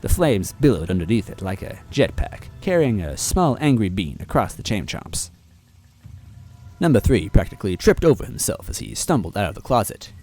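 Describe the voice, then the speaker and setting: A man narrating a fan-fiction story aloud in a playful, animated way. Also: lowish voice, normal speed, faint music behind.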